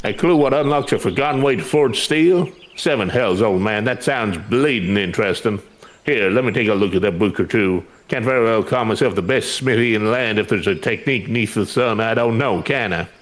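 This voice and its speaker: A man's voice: on the low side, a little fast, close to an everyday voice. Man doing a brash, sardonic fantasy blacksmith. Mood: intrigued. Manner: sardonic, blunt, rustic slangy speech. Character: brash, boastful, grumpy male master weaponsmith. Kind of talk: fantasy game dialogue read aloud.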